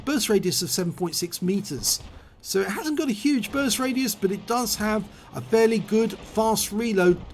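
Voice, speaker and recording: A man with a hoarse voice after a cold, calmly explaining and calling the play in a video game replay. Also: sits high, slight background noise.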